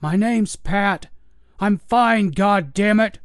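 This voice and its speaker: A frantic old security guard, put on by a man, yelling and cursing at a raised, strained pitch. His voice jumps high and sounds stretched thin with fear. It is recorded dry and close. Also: takes it slowly, dry recording. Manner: frantic, shouting, swearing.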